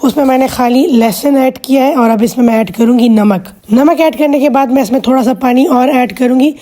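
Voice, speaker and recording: Hoarse high-voiced woman cooking host. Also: a little fast, dark tone. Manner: patient step-by-step instruction, chatty asides. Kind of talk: cooking vlog voice-over.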